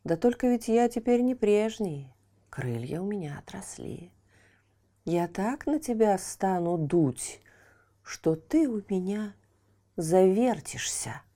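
A woman voicing the wind as a taunting, vengeful male spirit who speaks in a breathy hush. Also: medium pitch, very animated, slow.

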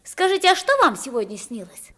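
A lively, high-voiced boy king. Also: fairly quick, heavily acted. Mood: curious, frank. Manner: animated, frank, inquisitive. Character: poor boy mistaken for a young king, naive, plain-spoken. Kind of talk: 1950s Russian radio play acting.

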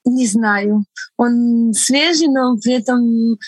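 A woman whose first language is Estonian, speaking with a mixed foreign accent as an interview guest, laughing often and pausing to find her words. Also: a little high, darkish tone, no echo, rough recording. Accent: foreign, mixed from several languages. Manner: laughs often, thoughtful with halting restarts. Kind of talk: relaxed conversational interview.

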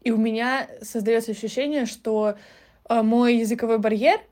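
A young woman recording a voice message for a podcast, describing her experience in a reflective, steady way. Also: on the high side, moderate pace. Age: young adult. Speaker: listener sending a voice message.

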